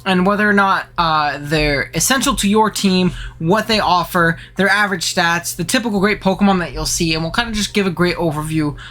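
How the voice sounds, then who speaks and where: A man's voice: sits high, sharp tone. A man hosting a casual game-analysis show, talking chattily and enthusiastically while sniffling from a head cold. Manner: fast, chatty, enthusiastic gamer talk with fillers. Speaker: channel host.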